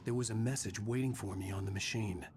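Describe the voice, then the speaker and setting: A man voicing a troubled, weary horror writer, narrating his own story in a brooding, measured way for a video game. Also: lowish voice, fairly even intonation, noisy background, close to an everyday voice. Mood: weary, dry. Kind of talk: scripted first-person game narration.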